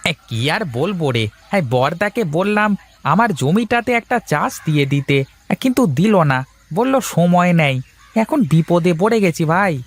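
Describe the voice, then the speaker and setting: A man's voice: sits high, big pitch swings, normal speed, exaggerated voice. A man playing a wheedling village farmer who pleads for help, in a cartoon. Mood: worried. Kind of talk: Bengali moral cartoon dubbing.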